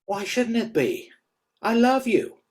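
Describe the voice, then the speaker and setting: A man's voice: very high-pitched, very animated, slow, a little mellow, heavily acted. A man playing a cocky, earnest young man in love in a script table read. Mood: eager, sincere.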